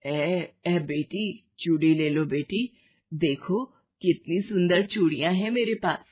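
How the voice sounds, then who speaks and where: A woman's voice: fairly low pitch, slow pace, husky. A woman voicing a poor, pleading old bangle seller in a moral story cartoon, on a thin recording. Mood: calling out. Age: older. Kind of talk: animated moral story dialogue. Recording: thin phone-like band.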